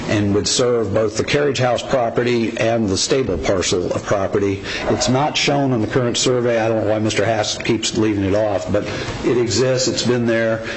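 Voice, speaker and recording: A hoarse man with a cold presenting a methodical, point-by-point case to a commission at a public hearing. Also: lowish voice. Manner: methodical, point-by-point, frequent ums and restarts. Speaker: representative of property owners. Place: public meeting room. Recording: noisy meeting room recording.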